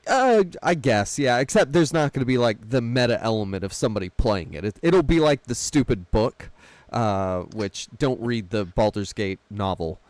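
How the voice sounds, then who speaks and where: A man's voice: medium pitch, expressive, normal speed, a little mellow, no room sound. A man talking casually as a panelist in a film discussion, with a wry, dry-humoured manner. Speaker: podcast panelist.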